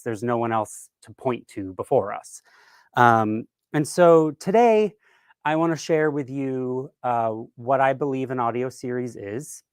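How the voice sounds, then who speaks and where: A man with a medium-pitched voice speaks as a keynote presenter. He is conversational and reflective as he explains things, and the recording is dry with very little room sound. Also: dry recording. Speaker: keynote speaker, writer-director.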